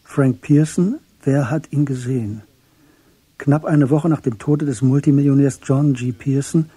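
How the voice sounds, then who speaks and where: A male radio drama narrator with a very dark and markedly husky voice. He reads in a sober, measured way at a medium pitch. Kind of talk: scripted radio play narration.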